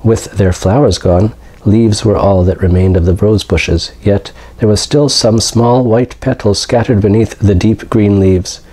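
Low, mellow man reading a story. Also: fairly even intonation, measured pace, few pauses. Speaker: story reader. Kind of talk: reading a literary short story aloud. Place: indoors, living room with books and brick fireplace.

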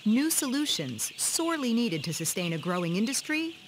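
Lively woman narrating a news report. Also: on the high side, moderate pace. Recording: clear background farm noise.

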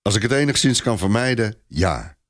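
A man playing a gruff, stubborn knight commander in a story, snapping and grumbling. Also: lowish voice, animated, normal speed, pitched above the performer's own voice, close to an everyday voice.

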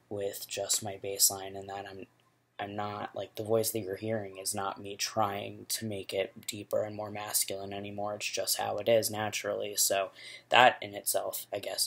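A young man with a low, rumbly, gravelly voice chats into his laptop webcam in a small bedroom. His pitch stays flat and even, and he talks in a relaxed, rambling, candid way. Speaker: vlogger. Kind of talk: casual video diary.

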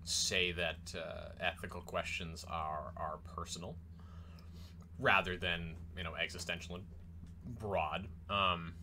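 A man co-hosting a podcast, listening with steady "mm-hmm"s and asking hesitant questions. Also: deep voice, takes it slowly, background noise. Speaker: podcast co-host. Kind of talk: casual philosophical discussion.

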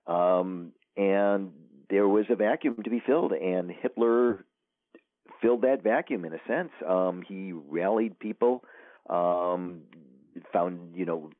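A man's voice: low voice, slow, dry recording. A man being interviewed as an author, answering thoughtfully with many hesitations. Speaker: author guest. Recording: tinny phone line.

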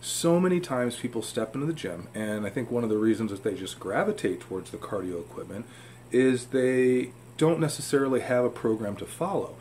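A man's voice: medium pitch, fairly quick, a little mellow. A man giving informal, emphatic fitness advice to camera in a home room. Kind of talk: informal instructional talk to camera. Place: indoor home room with fish tanks. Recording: clear room hum and background noise.

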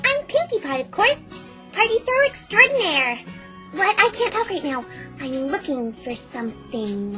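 A woman voicing a bubbly, childlike, scatterbrained cartoon pony with excitable, cutesy talk. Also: extremely high pitch, measured pace, over music, exaggerated voice. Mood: chatty, curious.

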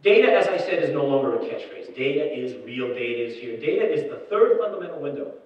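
A middle-aged man giving a conference keynote from a stage, emphatic and quick, often repeating his key phrases. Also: medium pitch, animated. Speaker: keynote speaker. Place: dark conference ballroom on stage. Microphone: room PA picked up by a far camera. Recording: very echoey hall, distant, dull sound.

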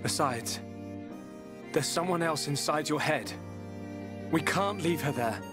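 A young hero of a fantasy video game, protective and earnest. His highish voice moves widely in pitch, emotive and unhurried, with music playing behind him. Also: lively intonation. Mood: earnest resolve. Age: young adult. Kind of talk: video game cutscene voice acting.

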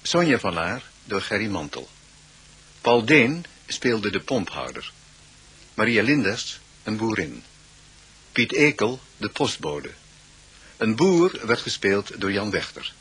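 A male announcer reads out the credits of a radio play. His voice is lowish and very husky, and he takes his time. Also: very animated, slow pace, pauses often, fairly bright tone, low-quality recording. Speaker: closing announcer.

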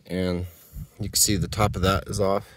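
A man's voice: sits low, level tone, normal speed, no room sound. A man giving a casual tour of his dry desert garden, rambling and thinking aloud while filming on his phone outdoors. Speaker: home gardener.

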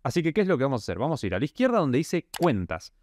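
A man's voice: fairly high pitch, big pitch swings, very fast, a little mellow. A young man with a Rioplatense Argentine accent, walking viewers step by step through a tutorial as host of a finance channel. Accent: Rioplatense Spanish. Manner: direct, walks viewer step by step. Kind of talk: casual tutorial explainer. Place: small indoor room.

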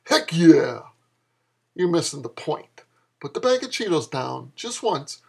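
A man's voice: a little high, sing-song, normal speed, creaky, breathy edge. A man in his fifties giving a dramatic reading of his own dialogue-driven fiction in a wry, exasperated manner. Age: 50s. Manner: wry, exasperated delivery of an older mentor's lines.

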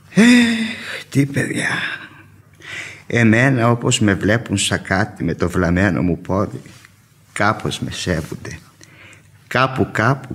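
Creaky, wistful old schoolteacher. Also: male voice, mid-range voice, heavily acted. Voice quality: creaky, raspy. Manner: theatrical, declamatory. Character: lame old schoolteacher, failed poet, gentle and wistful. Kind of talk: classic radio theatre acting.

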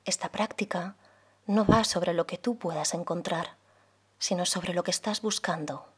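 A woman leading a guided meditation as a yoga guide, speaking softly and soothingly, unhurried, with pauses between phrases. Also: on the low side, husky. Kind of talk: guided meditation, slow calm instruction.

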